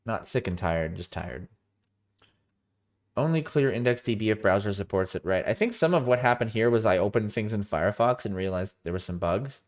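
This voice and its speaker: A man's voice: on the low side, animated, normal speed. A man with a cold walking through his code on a live stream, tired and thinking aloud, often correcting himself. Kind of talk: live coding walkthrough.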